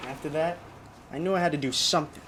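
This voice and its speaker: A man's voice: fairly high pitch, fast, natural voice. An actor playing a haunted, desperate young male driver in a radio drama, with an intense delivery that stammers under agitation. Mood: shaken, brooding. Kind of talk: radio drama acting with first-person narration. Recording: clearly noisy radio play recording.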